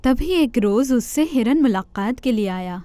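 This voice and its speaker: Woman reading a kids fairy tale, high voice, dry close sound, lively storyteller. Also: no room sound. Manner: expressive storyteller, addresses children. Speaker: narrator. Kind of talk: scripted children's story narration.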